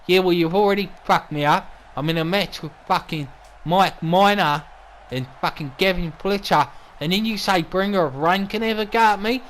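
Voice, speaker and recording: A skinny, whiny wrestling manager, acted by a man, commentates in an Australian accent. The voice is high with little bass in it. He is mouthy and defensive, and he swears constantly. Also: moderate pace, fairly bright tone, a little hiss or hum. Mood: defensive, annoyed. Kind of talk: wrestling commentary with in-character banter.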